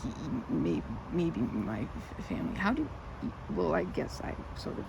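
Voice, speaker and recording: A woman's voice: low voice, very animated, moderate pace, gravelly, background noise, exaggerated voice. A woman playing a timid, socially anxious young woman in an ASMR roleplay, stammering and rambling nervously as she corrects herself. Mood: awkward, apologetic. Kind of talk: ASMR roleplay, intimate one-sided romantic dialogue.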